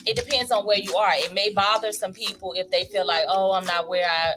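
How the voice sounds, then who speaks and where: Woman, thirties, reflective, laughs easily, casual panel chat, mid pitch, music clearly behind. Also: steady tone, brisk. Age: 30s. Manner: reflective, laughs often, faith-minded. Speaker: co-host.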